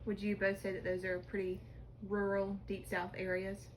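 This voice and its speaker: A woman asks brief interview questions in a medium-pitched voice. Faint room noise sits behind her. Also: monotone, moderate pace, low-quality recording. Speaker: off-camera interviewer.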